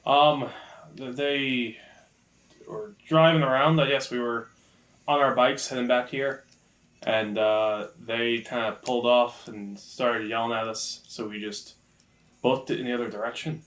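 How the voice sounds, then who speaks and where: A man playing an evasive junior-high boy in a tabletop game, hesitant and defensive as he pleads innocence. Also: steady tone, a little slow, fairly bright tone, roomy sound, close to an everyday voice, poor audio.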